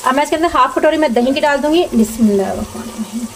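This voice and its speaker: A woman narrates a home recipe step by step. She instructs plainly and repeats details as she goes. She is recorded at the stove, with clear sizzling and frying noise behind her. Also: high voice, lively intonation, very fast. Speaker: home cooking show host. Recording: noisy kitchen with sizzling pan. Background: frying, sizzling, stirring.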